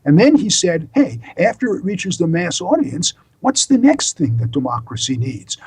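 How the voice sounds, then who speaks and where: Older American male academic guest: husky, fairly high voice, deliberate and emphatic, lecturing style. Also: big pitch swings, darkish tone. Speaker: law professor and author, interview guest. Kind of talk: explanatory interview talk, lecturing.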